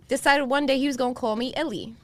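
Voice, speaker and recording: A woman's voice: high-pitched, fairly quick. A woman from Queens, New York, hosting a podcast interview in a chatty, playful, storytelling way. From Jamaica, Queens, New York. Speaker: podcast host. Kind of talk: casual interview chat.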